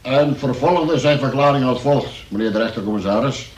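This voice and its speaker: A man's voice: medium pitch, moderate pace, echoey room, recorded from a distance, close to an everyday voice, poor audio. An actor playing a wry arrested man who narrates his statement in mock-formal style for a Dutch radio play. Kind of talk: scripted first-person radio play narration.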